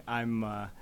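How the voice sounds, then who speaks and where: A man from the United States, a company CEO, giving measured, explanatory interview answers. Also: mid-range voice, a little hiss or hum.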